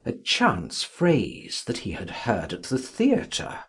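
Husky, theatrical male audiobook narrator. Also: mid-range voice. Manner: theatrical, expressive, measured reading. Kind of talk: dramatic literary audiobook narration.

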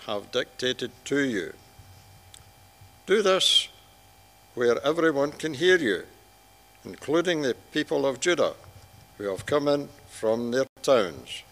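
Male scripture reader, mid-range voice, measured phrasing, strong church reverb. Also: medium pitch. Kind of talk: measured Bible reading aloud. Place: large church hall. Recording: long church hall reverb.

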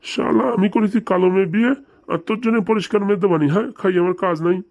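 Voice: medium pitch, very fast, a little mellow, dull audio, natural voice. An actor playing an irritable father in a comedy cartoon, scolding curtly and dismissively. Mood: irritated scolding. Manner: scolding, curt.

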